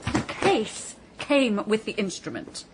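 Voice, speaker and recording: An actress playing a reserved, polite cellist woman in a radio comedy drama, speaking quietly and evasively. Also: medium pitch, wildly swooping pitch, slow, a little hiss or hum, low-quality recording. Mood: polite, uneasy.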